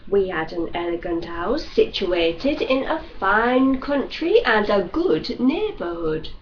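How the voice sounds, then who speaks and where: Expressive woman reading a classic novel, lively pitch. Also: takes it slowly. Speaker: audiobook reader. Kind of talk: literary narration of a classic novel. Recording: dull lo-fi recording with faint noise.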